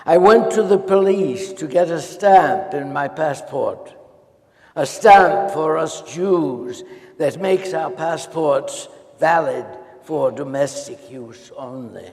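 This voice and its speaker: A man acting as a weary, humiliated teacher reading his diary on stage, with measured phrasing and weighty pauses. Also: a little high, a little mellow. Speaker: stage reader in a dramatic reading. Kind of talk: theatrical diary monologue. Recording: echoey hall with long reverb.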